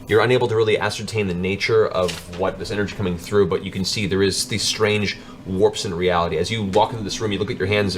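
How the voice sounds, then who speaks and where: A man narrating a tabletop game as game master, improvising descriptions. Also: fairly low pitch.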